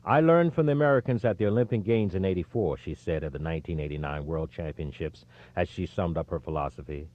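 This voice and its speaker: A man reading scripted nonfiction as an audiobook narrator, in a measured, expressive storytelling delivery. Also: low voice, muffled recording.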